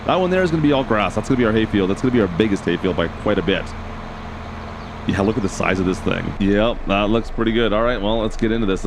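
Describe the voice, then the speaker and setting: A man with a hoarse, raspy voice casually commenting as he plays a farming game, thinking aloud and talking to his viewers. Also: low-pitched, background noise. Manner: chatty, thinks aloud, addresses viewers as guys. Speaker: game channel host.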